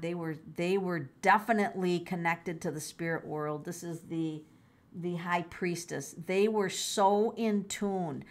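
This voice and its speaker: A middle-aged woman doing a casual tarot reading, musing aloud, exclaiming and repeating her phrases. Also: lowish voice. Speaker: tarot reader.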